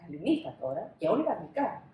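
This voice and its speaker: A woman's voice: lowish voice, sing-song, far from the mic, highs cut off. A woman voicing a kind, patient, tired father soothing his small boy in a storybook reading. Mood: patient, weary. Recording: roomy indoor echo.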